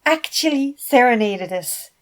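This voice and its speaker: A woman's voice: fairly high pitch, lively intonation, unhurried, pitched above the performer's own voice. A woman voicing a gushing, lovestruck male inner emotion, dramatic and elated.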